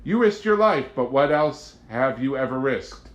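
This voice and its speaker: A composed, questioning young woman, as a male reader plays her, idealistic and quietly defiant. The delivery is philosophical audiobook dialogue, heard with clear room echo. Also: medium pitch, very animated, unhurried, dull audio, voice pushed up. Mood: earnest, challenging. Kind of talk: audiobook character voice. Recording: roomy, echoing home recording.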